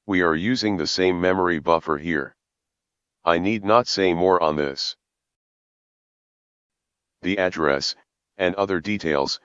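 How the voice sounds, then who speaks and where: A man with a low, resonant voice narrates a tutorial in measured, formal sentences. The recording is dry and close, with a slightly dull top end. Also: a bit bright, clean tone, dry recording. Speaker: programming tutorial presenter.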